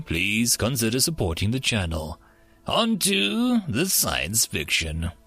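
Bright, theatrical male storyteller. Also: on the high side, dry recording. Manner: theatrical, dramatic announcer delivery. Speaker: narrator and channel host. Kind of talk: scripted story narration with a showy intro.

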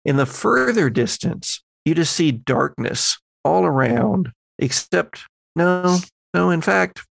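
A man's voice: on the high side, lively intonation, slow pace, vocal fry, dry recording. A man narrating an improvised horror tabletop game as game master.

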